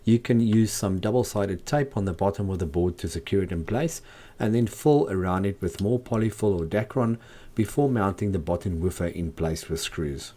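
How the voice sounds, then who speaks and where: A man's voice: low voice, moderate pace, warm tone. A man hosting a do-it-yourself video, narrating in a scripted tutorial style. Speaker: host, DIY speaker builder.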